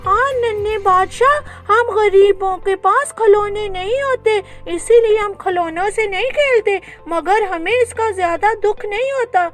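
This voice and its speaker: Woman as a plaintive, very high-voiced poor little boy. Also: a little slow, few pauses, soft background music. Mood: sad, resigned. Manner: childlike, plaintive. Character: poor, hurt, honest ten-year-old boy.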